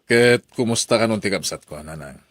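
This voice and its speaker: An actor playing a skeptical, protective adult son with an Ilocano accent in a radio drama. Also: male voice, on the low side, animated, moderate pace, no room sound. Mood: casual, appreciative. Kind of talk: Filipino radio drama acting.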